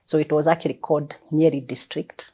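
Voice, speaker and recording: A Kenyan woman in her fifties, a guest sharing her life story in a gracious, measured way at home. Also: low-pitched, fairly even intonation, mellow, breathy edge. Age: 50s. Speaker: guest, bank executive. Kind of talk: relaxed personal storytelling.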